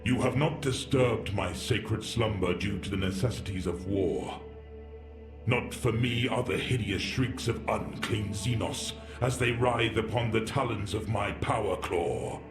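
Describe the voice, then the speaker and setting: A man's voice: on the low side, unhurried, natural voice. A man playing an ancient, bitter warrior entombed in a war machine, speaking in grand, archaic, rambling monologue for a sci-fi audio drama. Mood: bitter, mournful. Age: older. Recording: echoing voice over background music.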